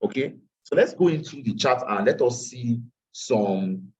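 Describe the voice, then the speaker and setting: A man's voice: medium pitch, expressive, measured pace. Tired man teaching on a call. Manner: repeats phrases, drops into Nigerian Pidgin asides. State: tired after a long day. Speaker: forex trading teacher. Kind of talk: live online class walking through charts. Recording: distant, dull lo-fi call audio.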